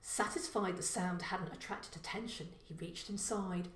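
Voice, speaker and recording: A woman reading a short crime story as scripted narration, with deliberate, careful phrasing. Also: on the low side, far from the mic. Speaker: author reading own story. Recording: echoey room.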